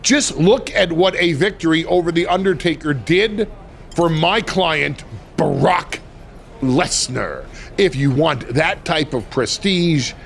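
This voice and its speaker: An actor playing a sly, grandiose retired wrestling manager in a video game, with ominous, theatrical delivery and deliberate dramatic pauses. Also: male voice, on the high side, expressive, creaky, fairly bright tone, heavily acted. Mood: grave, ominous. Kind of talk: video game cutscene dialogue.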